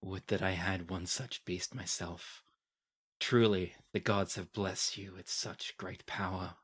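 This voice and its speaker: A game master playing a cold, imperious empress with formal, grandiose and measured phrasing. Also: low voice, fairly even intonation, fairly bright tone, breathy edge, heavily acted. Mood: admiring, manic. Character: imperious, cold empress, guarded mother-in-law, faintly manic.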